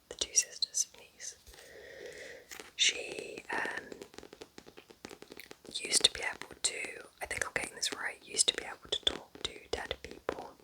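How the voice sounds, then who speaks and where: A woman speaking in a soft, chatty, informal ASMR whisper, gently recommending things as a host in an unhurried way. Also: poor audio. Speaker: ASMR host reviewing books.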